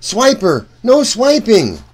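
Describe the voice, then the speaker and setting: A man reading a storybook aloud for children in an animated, deliberate way, speaking directly to young listeners. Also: sits high, husky.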